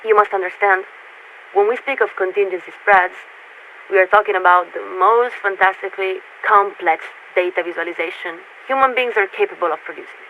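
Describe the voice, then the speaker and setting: A woman playing a polite, formal military radio signaler in a video game, speaking in a measured, patient, officious way through a radio filter. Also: medium pitch. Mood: patient explaining. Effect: radio transmission filter. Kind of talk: scripted game voice acting. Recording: tinny narrow-band radio with static.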